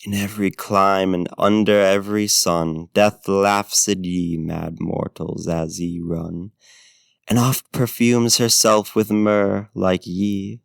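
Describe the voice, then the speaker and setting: An ancient male vampire, acted by a man, suave and predatory, speaks in a low voice with a gravelly fry. He draws out his formal, old-fashioned phrases very slowly, slipping toward breathy whispers in an intimate, menacing ASMR roleplay. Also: little pitch movement, no room sound, clean studio sound, heavily acted. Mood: grandly poetic. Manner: formal, archaic phrasing, slow deliberate pauses, courtly endearments.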